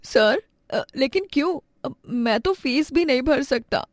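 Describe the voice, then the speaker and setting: Woman as young schoolboy, earnest, polite, humble, raised pitch, dry storybook recording. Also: animated, a little slow, no echo. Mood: bewildered. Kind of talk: storybook character acting.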